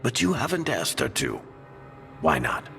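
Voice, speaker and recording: An actor playing a seasoned, injured team leader in a video game, wry, casual and encouraging. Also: male voice, fairly low pitch, wildly swooping pitch, a little slow, vocal fry, bright, forceful tone, big-room sound, soft background music, exaggerated voice. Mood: frank, caring.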